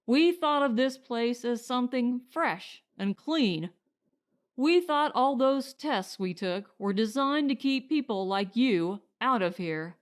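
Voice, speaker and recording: Principled male settler for audiobook female reader, pointed and firm, slightly high pitch, unhurried. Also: lively intonation. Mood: resolute. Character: principled, blunt husband and would-be settler. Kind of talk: audiobook character dialogue.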